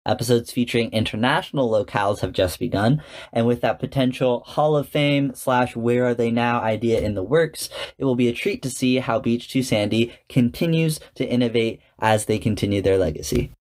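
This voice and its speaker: A man hosting a podcast, reading scripted commentary in a measured, articulate presenter's manner. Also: mid-range voice, a little mellow. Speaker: podcast host.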